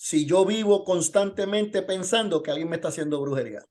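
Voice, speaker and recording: A man's voice: high-pitched, brisk, darkish tone. A man preaching as a pastor in an informal Bible lesson, telling testimony and posing rhetorical questions.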